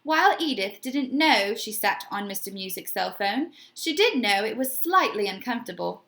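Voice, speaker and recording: A woman's voice: mid-range voice, expressive, moderate pace, sharp tone. A woman reading a children's storybook aloud expressively, like a teacher putting questions to young listeners.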